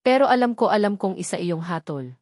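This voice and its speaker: A woman's voice: medium pitch, expressive, a little fast, darkish tone, no echo, studio quality. A woman voicing a fearful, remorseful older female secretary confessing secrets in a dramatic story. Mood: anguished, afraid.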